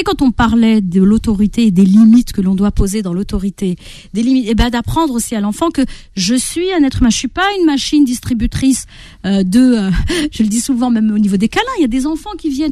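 A woman with a fairly high voice, whose first language is Arabic, talks as a guest on a radio show. She explains parenting advice with animation and acts out everyday scenes. The sound is dry and close. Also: no echo. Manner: lively, animated, acts out parents' and children's quotes.

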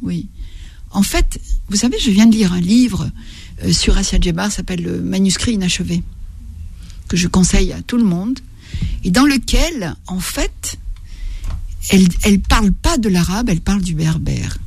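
An older woman from Algeria, a writer, talking slowly and reflectively in an interview, often stopping to search for her words. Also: medium pitch, lively intonation, gravelly, a little mellow, husky. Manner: halting, searching for words, many restarts.

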